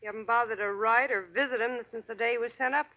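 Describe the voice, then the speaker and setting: An actress playing a hard-boiled, sardonic American gangster's moll with tough, sarcastic slang, in 1940s radio crime drama style. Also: female voice, a little high, fairly even intonation, fast, pushed and bright. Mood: disapproving. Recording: dull, band-limited old radio recording.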